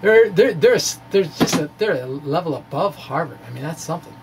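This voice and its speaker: A man giving an informal, animated explainer of his own material, with wry asides and a gravelly edge to his voice. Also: a little high, brisk, noisy background. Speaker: physics blogger presenting his own puzzles. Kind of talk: informal explainer, reading and discussing a blog.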